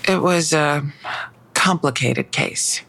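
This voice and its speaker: Female police detective, gravelly creak, guarded, tired, hesitant, understated drama delivery. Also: low-pitched, slow pace, fairly bright tone, heavily acted. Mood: guarded, weary. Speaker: actor in a fiction podcast. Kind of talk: naturalistic audio drama acting.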